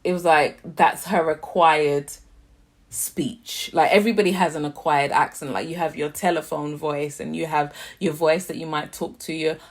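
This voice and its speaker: A young British woman casually reviewing a TV series, chatty and slangy with animated asides. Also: sits low, moderate pace. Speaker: YouTube TV reviewer.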